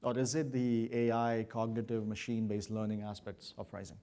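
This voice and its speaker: A man presenting at a business conference and addressing his audience, pausing often with "uh". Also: on the low side, little pitch movement, dark tone. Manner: measured, many "uh" fillers. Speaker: consulting presenter.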